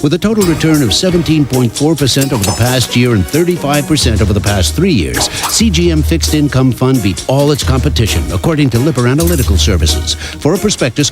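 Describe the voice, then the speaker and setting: Animated male ad announcer over music. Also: medium pitch. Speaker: commercial announcer. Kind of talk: scripted ad narration. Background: music bed.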